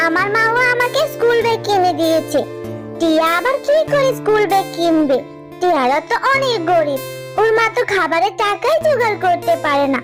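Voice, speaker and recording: A woman voicing a snooty young animal schoolgirl in a children's cartoon, bragging and taunting in a childlike manner. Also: extremely high pitch.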